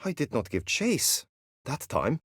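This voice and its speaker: An earnest courtly knight, swooping intonation. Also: male voice, mid-range voice, huge pitch swings, measured pace, fairly bright tone, dry recording, studio quality, full character voice. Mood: troubled, confiding. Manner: formal, archaic courtly phrasing. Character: earnest lovesick chivalrous knight, courtly and anxious. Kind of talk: video game character acting.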